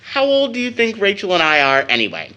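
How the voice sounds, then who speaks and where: A man's voice: sits high, huge pitch swings, a little fast, sharp tone, muffled recording, heavily acted. A man acting an eager, cheeky, devoted teenage son in an audio drama, boyish and slangy. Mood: teasing.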